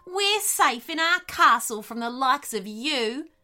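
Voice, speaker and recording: A woman's voice: very high-pitched, very animated, unhurried, sharp tone, soft background music, voice pushed up, exaggerated voice. A woman voicing a kindly, brave echidna in a children's story, with an earnest, reassuring manner. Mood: defiant.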